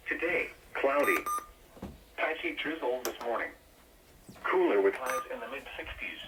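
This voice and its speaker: A man's voice: mid-range voice, animated, a little slow, roomy sound, far from the mic. A man reading a scripted weather bulletin in a formal, impersonal way, heard through a radio speaker. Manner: formal, impersonal recited delivery. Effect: radio broadcast through a small speaker. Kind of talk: scripted weather broadcast announcement. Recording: noisy radio speaker picked up from afar.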